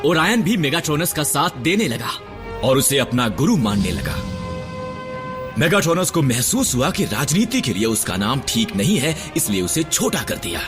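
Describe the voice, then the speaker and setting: A weary robot medic, acted by a man, lectures in a measured, somber cartoon-dub voice. His pitch sits in the ordinary middle range. A loud musical score plays beneath him. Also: big pitch swings, over music, close to an everyday voice. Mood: solemn. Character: grizzled old male robot medic, stern and weary. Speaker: storyteller recounting history.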